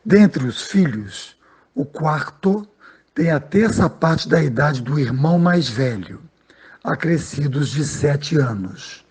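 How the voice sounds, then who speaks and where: A man's voice: on the high side, expressive, slow, a little mellow, husky. A man, a math teacher patiently explaining a lesson step by step and often checking in with his listeners.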